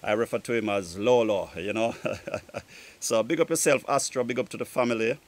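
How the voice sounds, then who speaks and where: Male DJ host talking outdoors between tracks. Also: mid-range voice. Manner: drawn-out phrases, friendly shout-outs to artists. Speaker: DJ and show host. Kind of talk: casual music show presenting between tracks. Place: outdoors in a garden.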